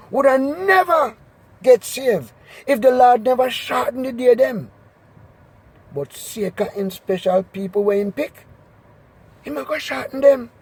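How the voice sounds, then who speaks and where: A man with a Jamaican accent doing an expressive, emphatic scripture reading in Patois. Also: very high voice, slightly rough, dry recording, poor audio. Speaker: Bible reader.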